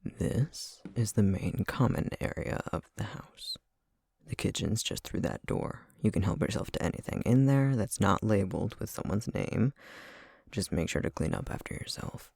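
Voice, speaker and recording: A man playing a gruff, weary but welcoming housemate in an ASMR roleplay, with a raspy, rumbling, slightly congested voice and a hesitant, matter-of-fact way of talking. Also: animated, normal speed, darkish tone, no room sound, studio quality, exaggerated voice. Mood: laid-back, welcoming.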